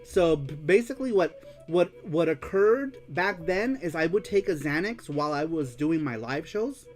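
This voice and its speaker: A man with a fairly high voice hosts a live stream, reading out viewer comments in a loose, chatty way. He laughs a lot, curses freely and slips easily into Spanish. Soft music plays faintly behind him. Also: lively intonation, moderate pace. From United States. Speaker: host of a live-streamed retro gaming show.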